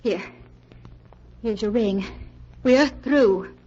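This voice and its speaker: A proud, infatuated young woman in a 1940s radio drama. Her voice is high and her delivery dramatic and haughty. The vintage recording is muffled and lo-fi. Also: extremely slow, warm tone, slightly rough, exaggerated voice. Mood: cool, annoyed.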